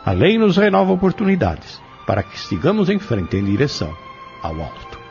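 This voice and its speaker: A man's voice: on the high side, wildly swooping pitch, normal speed, gravelly, a little mellow. A man with a Brazilian accent giving a scripted moral reflection in a solemn, didactic way. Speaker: spiritist commentator. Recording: dull lo-fi radio recording with music.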